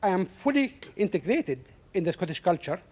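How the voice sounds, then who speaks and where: A woman giving her opinion in an audience discussion, speaking haltingly. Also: fairly low pitch, big pitch swings, darkish tone. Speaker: audience member.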